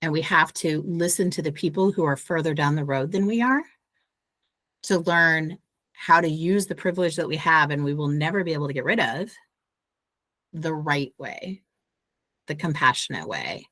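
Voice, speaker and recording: A woman author being interviewed, explaining ideas earnestly with lively asides. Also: deep voice, no room sound. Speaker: author and guest. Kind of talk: conversational interview.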